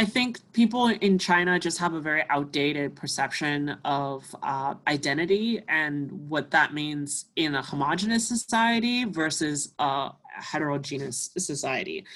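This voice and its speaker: A woman's voice: low voice, fairly bright tone. Woman guest just chatting, lots of likes and restarts, easygoing. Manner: chatty, many fillers and restarts. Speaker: musician guest. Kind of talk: casual conversation.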